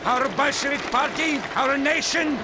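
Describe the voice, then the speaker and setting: A man playing a fierce Soviet commissar in a war game, forcefully exhorting troops in a declamatory propaganda speech. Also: extremely high pitch, unhurried, a loud crowd, very noisy. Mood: grim rallying. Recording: loud music over the voice.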